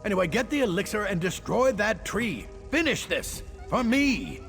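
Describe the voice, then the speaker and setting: An actor playing an unflappable, dryly wry adventuring alchemist in a video game, speaking in measured, formal phrases. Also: male voice, high voice, sing-song, over music. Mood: strained, urgent. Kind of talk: video game character acting.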